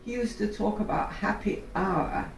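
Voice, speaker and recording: A woman in her eighties giving slow, thoughtful interview answers, chuckling now and then. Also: mid-range voice, gravelly. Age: 80s. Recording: roomy echo, somewhat distant, faint music.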